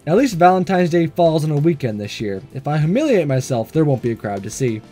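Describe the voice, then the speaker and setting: A man with a fairly high, very animated voice reads through a visual novel as a let's-play. He is playful and excitable, joking at his own expense, and music plays softly under him. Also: fairly quick. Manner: playful, excitable, self-deprecating. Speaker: gaming video host.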